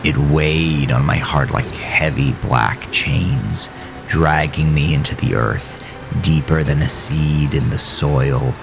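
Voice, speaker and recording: A man narrating a first-person horror story in a deliberate, suspenseful, dramatic way with a gravelly voice. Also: rumbling low, fairly even intonation, over music. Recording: rain and storm noise behind voice. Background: rain, storm ambience.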